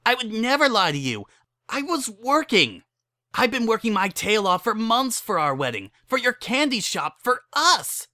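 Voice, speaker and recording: A woman's voice: a little high, very animated, normal speed, pushed and bright, no room sound, studio quality, pitched above the performer's own voice. A woman voicing an angry, heartbroken young female pony in a dramatic story reading, impassioned and pleading. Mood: shouting, distraught.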